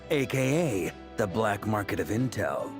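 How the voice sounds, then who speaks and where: Middle-aged knight commander, confident and wry, lively pitch, commanding delivery, faint game music. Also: male voice, unhurried. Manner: self-assured, wry, commanding. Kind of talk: video game voice acting.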